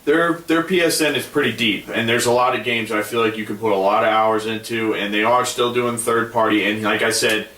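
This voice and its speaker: A man's voice: fairly low pitch, steady tone, brisk, few pauses, bright, forceful tone, roomy sound, far from the mic, rough recording. A man co-hosting a casual gaming podcast, easygoing and arguing his point with lots of fillers. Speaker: podcast co-host.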